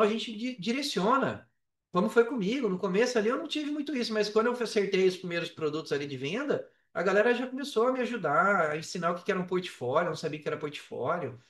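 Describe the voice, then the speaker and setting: A Brazilian man with a fairly high voice chats casually on a podcast. He tells things in slangy, animated fashion. The sound is roomy, with him slightly away from the microphone. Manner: slangy, animated storytelling with acted-out quotes. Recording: roomy echo, distant from mic.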